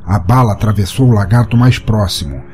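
Mellow male story narrator over music. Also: on the low side, a little fast. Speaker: podcast narrator. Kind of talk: scripted short-story narration. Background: music under the voice.

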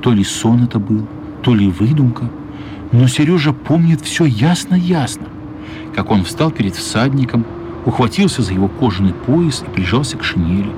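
A man narrating a children's radio play as scripted storytelling, measured and expressive, with pauses. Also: mid-range voice, background noise. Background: music.

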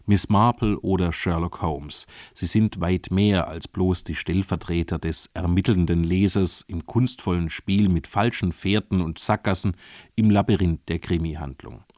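A man presenting a scripted literary introduction on the radio in a measured, formal way. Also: low-pitched, steady tone, darkish tone, no echo, studio quality. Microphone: close studio microphone.

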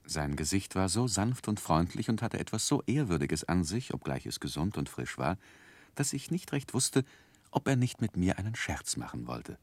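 Casting: reflective storyteller of his own youth, low voice, lively intonation, articulate, vintage radio drama. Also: moderate pace, dry recording, heavily acted. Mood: fond, reflective. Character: grown man gently recounting his own boyhood, thoughtful. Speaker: first-person narrator. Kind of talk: scripted literary narration in 1960s German radio play.

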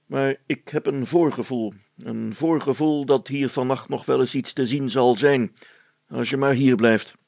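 A man voicing a firm schoolmaster who speaks deliberately and repeats himself with ominous, knowing insistence in a story. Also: medium pitch, fairly even intonation, gravelly, darkish tone, dry recording. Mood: ominous, insistent.

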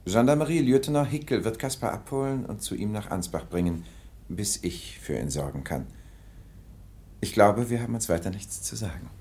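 An actor playing an aloof English lord in a radio drama, speaking in a curt, formal, commanding way. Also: male voice, fairly low pitch, lively intonation, normal speed, a little mellow. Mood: cool.

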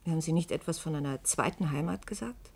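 An actress in a science-fiction radio play, playing a sharp-tongued, strained woman journalist who is ironic and quick-witted. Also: low voice, gravelly, breathy edge, full character voice. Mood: indignant, pressing. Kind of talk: German science-fiction radio drama, dialogue and narrated recollection. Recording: hissy vintage radio play recording.

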